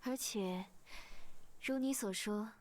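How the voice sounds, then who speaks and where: A woman's voice: medium pitch, takes it slowly, a little mellow, natural voice. A woman playing an ambitious, self-assured young woman in a visual novel. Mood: resolute.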